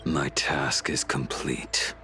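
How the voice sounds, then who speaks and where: A man's voice: very deep voice, steady tone, takes it slowly, pushed and bright, soft background music, heavily acted. A man playing a grim, vengeful immortal swordsman in a video game, brooding and menacing.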